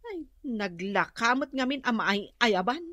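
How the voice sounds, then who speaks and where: A nagging Ilocano wife and mother in a radio drama. Her high, bright voice is close and dry, full of worry and complaint. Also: sing-song, unhurried, no room sound, heavily acted. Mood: plaintive, worried. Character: exasperated, worried wife and mother, nagging and plaintive.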